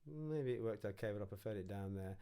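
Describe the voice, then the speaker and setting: A man's voice: fairly low pitch, fairly quick, dark tone, smooth. A man narrating an informal music production tutorial, casually thinking aloud as he works.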